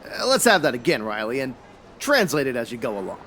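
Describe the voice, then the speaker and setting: A man's voice: medium pitch, very animated, brisk. A man playing a terse, frustrated private detective in a 1940s-style noir radio drama. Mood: urgent. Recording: very loud background noise.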